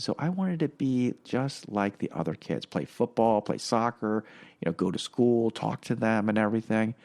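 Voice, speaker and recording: A man's voice: on the low side, darkish tone. A middle-aged man whose first language is Korean, telling personal stories as a podcast guest in a rambling way, full of fillers and restarts. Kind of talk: casual personal storytelling.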